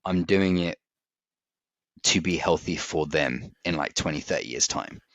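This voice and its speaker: Deep-voiced candid man in his forties. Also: unhurried. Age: 40s. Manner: candid, reflective, laughs easily. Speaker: podcast co-host. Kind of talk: casual chat. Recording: dry, slightly dull audio.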